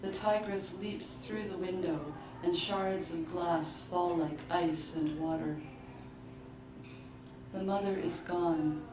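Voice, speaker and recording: Slow, low-voiced woman reading in a noisy room. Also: steady tone. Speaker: author reading at a book launch. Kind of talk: literary reading of short fiction. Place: live book launch in an indoor venue. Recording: roomy, distant mic, clearly noisy live venue.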